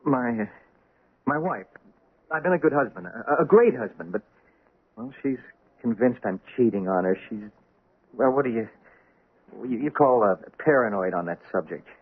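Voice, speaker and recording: An actor playing a nervous, stammering, unfaithful husband in a 1970s radio drama. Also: male voice, mid-range voice, animated, moderate pace, long pauses, breathy edge. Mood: squirming. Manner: stammering, evasive. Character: nervous, stammering cheating husband, middle-aged man. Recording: tinny lo-fi vintage radio recording.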